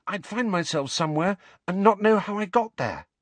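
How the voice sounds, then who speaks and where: A man's voice: high voice, lively intonation, normal speed, no room sound, pitched above the performer's own voice. A man voicing a blunt, unabashed younger teenage girl in an audiobook, cool and matter-of-fact. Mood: cool, simple.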